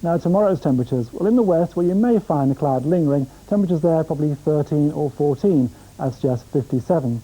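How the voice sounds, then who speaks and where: Male forecaster, British, very soft dark tone, conversational presenting. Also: fairly high pitch, animated, brisk, hardly any pauses, rough recording. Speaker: weather forecaster.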